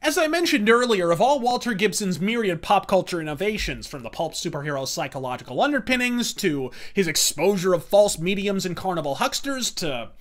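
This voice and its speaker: A man with a high, forceful voice delivers scripted pop culture commentary as the host. His wording is florid and freely profane, and he punches his phrases hard, in the manner of a rant. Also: big pitch swings, a little fast, hardly any pauses. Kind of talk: scripted commentary, rant-style countdown review.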